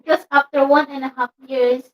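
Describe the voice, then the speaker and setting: A young woman with a Filipino accent and a high voice chats on a couple's vlog. She is playful and giggly. She drops into Tagalog now and then. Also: level tone, fairly quick, highs cut off. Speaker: vlogger. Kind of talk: casual chat.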